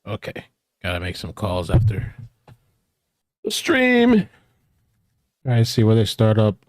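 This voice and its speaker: A man's voice: fairly low pitch, wildly swooping pitch, unhurried, no echo. A man hosting a live reaction stream, chatting offhand and swearing casually.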